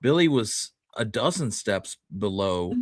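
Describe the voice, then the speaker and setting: A man with a somewhat low voice chats about history as a podcast co-host. He explains his points in an informal, conversational manner, recorded dry with little room sound. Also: very animated, unhurried, breathy edge, dry recording. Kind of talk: casual history discussion.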